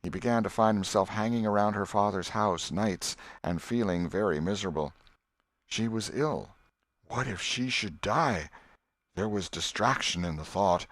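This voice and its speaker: A man reading a storybook as an audiobook narrator, in a measured, expressive way. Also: on the low side, dry recording.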